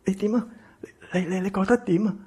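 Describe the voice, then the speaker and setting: Man acting husky, bitter Cantonese son in radio drama. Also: sits high, quick pace. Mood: shocked, frightened. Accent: Hong Kong Cantonese. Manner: emotional, stammering, swinging from shouts to whispers. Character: bitter, exploited grown son turned coldly vengeful. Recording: music behind the voice.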